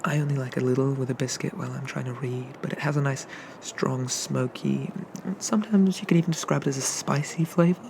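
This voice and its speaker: A man voicing a shy, friendly sea dragon barista in a soft-spoken ASMR roleplay, rambling and hesitant as he eagerly explains things. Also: medium pitch, fairly quick, creaky, a little hiss or hum, lightly acted. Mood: helpful, gentle.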